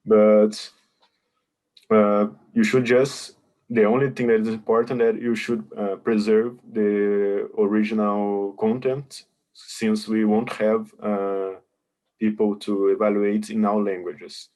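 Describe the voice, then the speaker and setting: A man's voice: fairly low pitch, flat delivery, slow pace, darkish tone. A man presenting a webinar, patiently explaining contest rules and answering questions over a video call. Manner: patient, explanatory, hesitant with many fillers.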